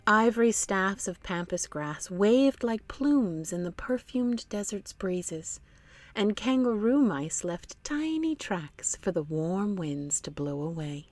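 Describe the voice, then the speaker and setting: A woman's voice: mid-range voice, animated, slow, no echo. A woman hosting a children's read-along, narrating expressively and speaking directly to young listeners. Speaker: storytime host and narrator.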